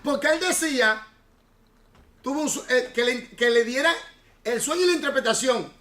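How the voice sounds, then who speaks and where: A male pastor teaching a Bible class, his voice pitched high for a man and ringing bright and sharp. He explains at length and stops to quiz his students. The sound bounces around an echoey church room. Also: normal speed.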